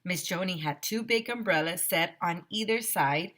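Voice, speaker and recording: A woman teacher reading a children's story aloud in an expressive, animated narrating style. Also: fairly low pitch, normal speed. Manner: animated, with sound effects.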